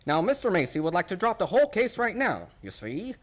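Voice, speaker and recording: A man acts out a fussy male psychologist from a stage-style radio play. He is officious, self-important and cowardly, quickly flustered. His pitch swings widely as he talks. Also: huge pitch swings, a bit bright, heavily acted. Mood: ingratiating, flustered.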